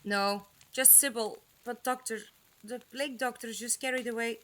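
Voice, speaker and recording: Woman playing a plucky, high-voiced young companion. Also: steady tone, normal speed, a bit bright, slight background noise, lightly acted. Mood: relieved, frank. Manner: earnest, outspoken. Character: plucky, caring young female companion, quick to protest. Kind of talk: audio drama acting.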